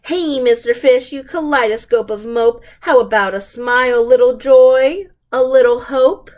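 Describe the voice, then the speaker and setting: A woman's voice: fairly high pitch, fairly even intonation, a little slow, darkish tone, lightly acted. A woman voicing a slightly impolite female storybook squid, pushy and emphatic.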